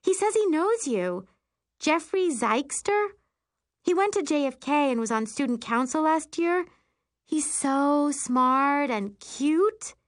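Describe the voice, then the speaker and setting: A woman's voice: medium pitch, unhurried, hardly any pauses, a bit bright, dry recording, clean studio sound, voice pushed up, lightly acted. A woman reading a novel as a chatty, gushing teenage girl.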